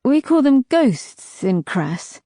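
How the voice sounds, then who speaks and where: A wary, wounded young woman on the road, guarded and curt, lifting her voice a little higher and stronger, as a woman reader plays her. The sound is dry and close. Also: sing-song, slow pace, dark tone, no echo, heavily acted. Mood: plain. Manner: terse, guarded.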